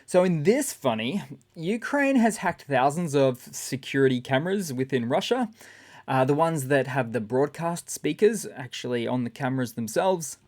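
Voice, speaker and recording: A man with a raspy voice hosting a casual, sarcastic news commentary. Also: medium pitch, expressive, moderate pace, darkish tone. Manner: sarcastic, chatty. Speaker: channel host.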